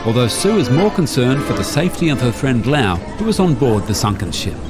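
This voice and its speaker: A man's voice: mid-range voice, very animated, a little fast, over loud music. A man narrating and announcing a comic radio serial.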